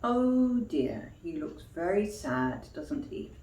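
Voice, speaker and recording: A woman storyteller reading expressively to young children like a teacher, pausing for questions and explanations. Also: mid-range voice, lively intonation, darkish tone. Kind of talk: children's storytime read-aloud with explanatory asides. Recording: echoey room.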